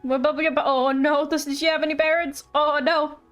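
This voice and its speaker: High-voiced woman streaming a game. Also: flat delivery, a little fast, fairly bright tone. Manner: chatty, reactive. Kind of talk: casual live game commentary, reading dialogue aloud. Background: game music.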